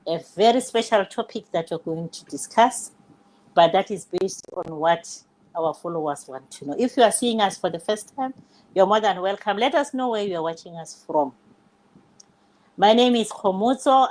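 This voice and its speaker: A woman's voice: fairly low pitch, a little mellow, low-quality recording. An older woman, a grandmother, hosting a live online session and greeting viewers and panelists in a welcoming way. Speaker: host.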